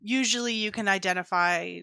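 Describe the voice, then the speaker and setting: A woman's voice: medium pitch, normal speed, gravelly, pushed and bright, clear, resonant tone, dry recording, studio quality. A woman playing a tabletop role-playing game, chatting casually with the others.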